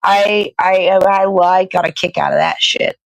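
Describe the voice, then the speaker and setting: A woman's voice: mid-range voice, level tone, unhurried, dry recording, highs cut off. A woman hosting a casual livestream, chatting in a meandering way, often searching for words and swearing casually. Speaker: live show host. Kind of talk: casual rambling livestream chat.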